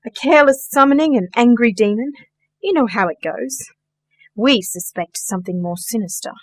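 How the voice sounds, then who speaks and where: A woman's voice: on the high side, normal speed, lightly acted. A woman playing a polite, earnest and assured client in a radio play.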